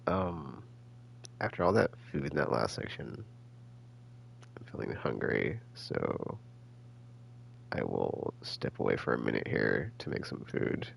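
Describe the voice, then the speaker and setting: A man giving casual, rambling game commentary as a streamer, halting and slangy as he thinks out loud. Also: very deep voice, big pitch swings, vocal fry. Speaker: gaming streamer.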